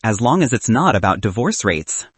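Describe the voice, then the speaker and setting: A man acts out a capable young bridesmaid in a romance audiobook. She is brisk, bossy and playful, with animated pitch and a husky edge, recorded dry. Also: no room sound. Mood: teasing.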